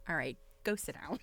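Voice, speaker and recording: A woman's voice: lowish voice, fairly bright tone. A middle-aged woman hosting a casual livestream, chatty and giggly, often breaking into exclamations. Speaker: livestream host.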